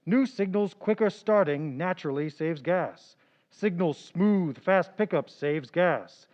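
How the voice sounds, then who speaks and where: A man reading an old-time radio gasoline commercial with a brisk, upbeat salesman delivery. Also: high voice, animated, darkish tone. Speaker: announcer. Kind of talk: vintage radio commercial read. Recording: dull lo-fi old recording.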